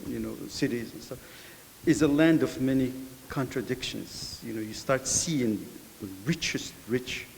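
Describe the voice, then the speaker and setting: A middle-aged man from Morocco answering as a panelist in a thoughtful, measured way. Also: mid-range voice, a little mellow. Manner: thoughtful, measured, with filler pauses. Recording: hall reverb, faint hiss.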